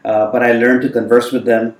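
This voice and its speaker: Guy w/ hindi as first language, talks slow and thoughtful lots of uhs, sounds kinda far off in a roomy space. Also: mid-range voice, little pitch movement. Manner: thoughtful, many fillers. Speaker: guest. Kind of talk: reflective storytelling in conversation. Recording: roomy sound from a distant mic.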